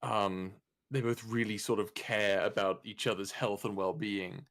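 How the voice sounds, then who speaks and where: A man's voice: lowish voice, fairly even intonation, measured pace, a bit bright. A man talking casually as a voice actor on a panel, earnest and enthusiastic, feeling his way through sentences. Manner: earnest, enthusiastic praise, hesitant phrasing.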